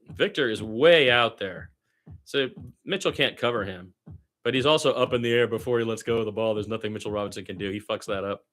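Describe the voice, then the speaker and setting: A man's voice: fairly low pitch, fairly bright tone. A man giving loose, conversational play-by-play basketball commentary in an informal, slangy way, as if thinking aloud. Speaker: amateur radio-style play-by-play commentator.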